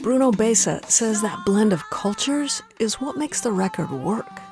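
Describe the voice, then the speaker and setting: Lady radio reporter narrating a feature, even delivery, band music going loud underneath. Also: mid-range voice. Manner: measured, even storytelling delivery. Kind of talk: scripted radio news narration.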